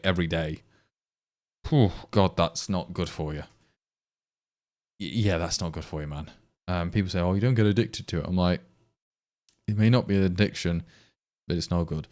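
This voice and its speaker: A man's voice: sits low, steady tone, normal speed, pauses often, a little mellow. An English man with an East Midlands accent, chatting casually to stream viewers in a rambling, exasperated way with many restarts. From England. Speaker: livestream programmer.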